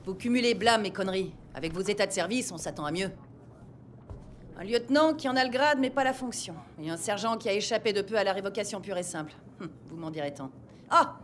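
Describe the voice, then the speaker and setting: A woman's voice: medium pitch, expressive, brisk, a little hiss or hum, close to an everyday voice. A woman playing a stern, proud police captain who speaks with formal, sardonic authority in an audio drama. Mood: cutting, sardonic. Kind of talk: dramatic audio series acting. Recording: music bed behind the voice.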